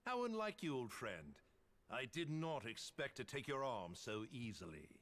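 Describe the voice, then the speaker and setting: A man's voice: medium pitch, big pitch swings, normal speed, fairly bright tone. A man voicing a cold, taunting male traitor commander in a sneering manner.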